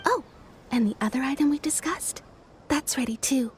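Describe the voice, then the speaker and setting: A woman's voice: high-pitched, moderate pace, noisy background, lightly acted. A woman voicing a deferential shop tailor. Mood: polite. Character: polite female tailor in a shop.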